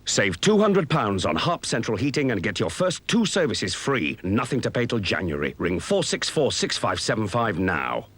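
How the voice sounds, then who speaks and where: A man's voice: lowish voice, sing-song, normal speed, few pauses, fairly bright tone, breathy edge. A man delivering a punchy retail advert announcement.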